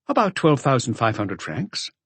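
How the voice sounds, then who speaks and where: A man voicing a polite, helpful male witness with a measured, forthcoming manner in an audiobook. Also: mid-range voice, wildly swooping pitch, a bit bright, husky, dry recording, exaggerated voice. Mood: polite, earnest.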